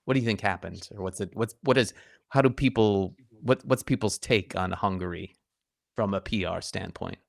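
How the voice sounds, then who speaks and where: Host: man with a very low, gravelly voice, rambling and filler-heavy questions. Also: normal speed. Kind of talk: live interview hosting.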